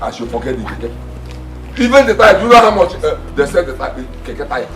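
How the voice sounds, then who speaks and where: A man playing an angry father who scolds fast and loud. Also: mid-range voice, very animated, roomy sound, far from the mic, faint music behind. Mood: scolding. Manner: shouting, scolding, rapid-fire. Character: furious older father, bitter and bossy.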